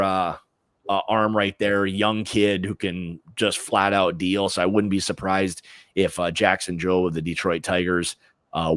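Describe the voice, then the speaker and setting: A man's voice: low-pitched, no echo. An American man hosting a casual sports prediction livestream, talking informally in slangy, opinionated fashion.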